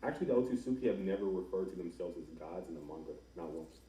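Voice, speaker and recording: A man with a very low, mellow voice hosts a casual podcast chat. His talk is slangy and full of bro. The recording is roomy and echoey with some background noise. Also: expressive, fast. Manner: slangy, says bro often. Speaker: podcast host. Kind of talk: casual chat about anime.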